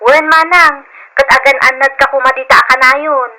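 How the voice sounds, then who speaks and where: A woman's voice: high voice, fairly even intonation, normal speed, pushed and bright, rough recording. A woman playing a dutiful, considerate young provincial sister in an old Philippine radio drama. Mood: grateful.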